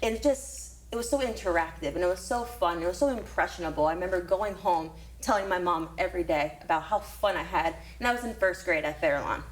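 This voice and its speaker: A woman's voice: fairly low pitch, brisk, hardly any pauses, a little hiss or hum, rough recording. A woman teacher from Florida, earnest and enthusiastic as she answers interview questions about her career. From Florida, USA.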